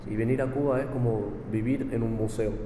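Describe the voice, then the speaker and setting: A young man from Argentina, a university student, chatting in a relaxed way in an interview; his Argentine accent has turned largely Cuban. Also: medium pitch, a little fast, roomy sound, long reverb tail, background noise. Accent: Argentine Spanish with a strong Cuban influence. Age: young adult. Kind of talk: casual interview. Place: high-rise apartment overlooking the sea.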